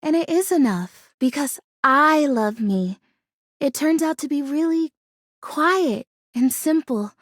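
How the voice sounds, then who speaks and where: An excitable, sweet-natured houseplant from a video game. Her voice sits extremely high and moves in lively, animated swoops. She speaks earnestly and quaintly, in a dry, close recording. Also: takes it slowly, dry recording. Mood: tender, content. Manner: quaint, folksy exclamations, earnest and gushing. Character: sweet, plucky, excitable female talking houseplant. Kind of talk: video game character acting.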